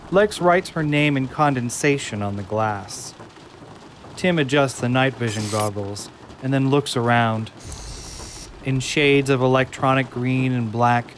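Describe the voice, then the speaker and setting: A man's voice: mid-range voice, a little hiss or hum. A man giving a dramatic, scripted narration as the narrator of an audio drama. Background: loud music, rain.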